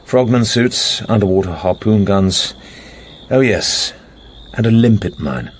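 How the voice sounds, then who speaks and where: A cool British secret agent in a radio drama. He speaks low, in terse, wry, understated lines, with faint noise behind the voice. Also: expressive, measured pace. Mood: cool, probing. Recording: faint background noise and ambience.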